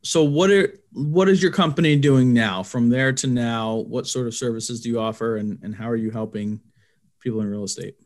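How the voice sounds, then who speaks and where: A man hosting a casual podcast interview, talking in a relaxed, friendly way with fillers. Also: mid-range voice, normal speed. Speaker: podcast host.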